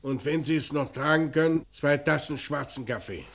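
An actor playing a gruff, commanding police inspector in a radio crime drama, curt and sardonic while needling a suspect. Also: male voice, fairly high pitch, normal speed, a bit bright. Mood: brusque, commanding. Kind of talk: German radio play acting.

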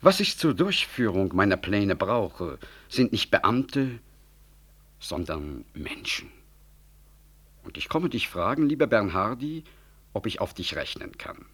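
A genial, self-important minister in a radio play. The husky, fairly low voice swells with emphatic, oratorical intonation, deliberate and full of grand purpose. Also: male voice, big pitch swings, a bit bright, heavily acted. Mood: grandiose, earnest. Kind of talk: 1960s German radio drama acting.

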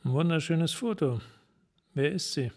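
Male police sergeant, radio crime drama, lighter pitch, blunt, clipped, hard-boiled. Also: unhurried, darkish tone. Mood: coolly probing. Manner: terse, direct, clipped sentences.

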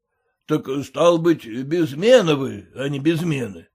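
A man's voice: a little high, lively intonation, unhurried, darkish tone, breathy edge, full character voice. A man voicing a stern, bossy male merchant who questions his clerks curtly, in a story reading. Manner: brusque, commanding.

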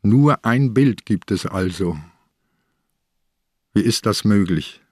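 An actor in a radio crime drama playing an ailing older police inspector who asks terse, probing questions. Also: male voice, lowish voice, lively intonation, takes it slowly, a little mellow, dry recording, clean studio sound.

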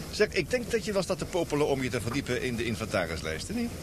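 Jovial, animated professor, noisy recording. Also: male voice, a little fast. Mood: teasing, easygoing. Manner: chummy, teasing, chuckling. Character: jovial, easygoing male professor, teasing and chummy. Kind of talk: Dutch radio drama acting. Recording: noisy, engine-like rumble behind.

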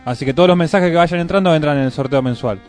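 A man's voice: fairly high pitch, quick pace, a little mellow, soft background music. A man with an Argentine accent hosting and interviewing on the radio in a conversational style. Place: radio studio.

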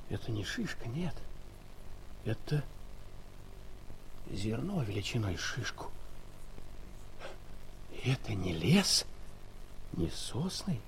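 A man's voice: mid-range voice, gravelly, a little hiss or hum, full character voice, poor audio. A man acting a bewildered traveller who thinks aloud in hesitant, dramatic alarm in an audio play. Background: music.

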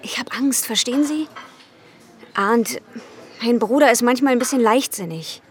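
A woman's voice: a little high, moderate pace, darkish tone, natural voice. An actress playing a guarded, worried young waitress and mother in a radio crime drama. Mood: anxious, pleading. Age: young adult.

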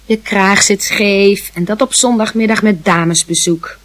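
A woman's voice: mid-range voice, steady tone, measured pace, close to an everyday voice. A woman playing a teasing young maidservant who scolds the child she minds, in a Dutch radio play. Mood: teasing, scolding. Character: teasing young maidservant minding a little boy.

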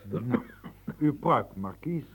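An actor in a radio play as a patient manservant, husky and formally deferential, persistently prompting his master. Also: mid-range voice, lively intonation, slow pace. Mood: exasperated, polite. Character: patient, insistent manservant to an old marquis.

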